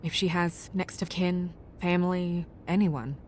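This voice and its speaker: Composed, lowish-voiced woman played by an actress. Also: fairly even intonation, slight background noise, natural voice. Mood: businesslike. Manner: courteous, measured. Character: polite, composed young woman, a tactful official. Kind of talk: dramatic video game dialogue.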